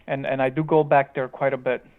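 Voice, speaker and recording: A man with a slight Romanian accent mixed with Chicagoan, giving halting, conversational interview answers over a remote call. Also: medium pitch, flat delivery. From Chicago, United States, born in Romania. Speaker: guest, tech company founder. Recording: tinny phone-like line.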